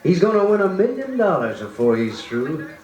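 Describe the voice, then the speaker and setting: A man's voice: sits high, huge pitch swings, normal speed. An actor playing a folksy, admiring small-town man with an American accent in an old radio drama. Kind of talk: folksy 1940s radio acting. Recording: dull echoey old record, loud music.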